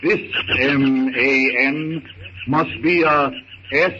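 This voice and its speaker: A man giving dramatic scripted narration for a 1930s radio serial, theatrical and deliberate. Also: mid-range voice, fairly even intonation. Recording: noisy, muffled 1930s radio recording.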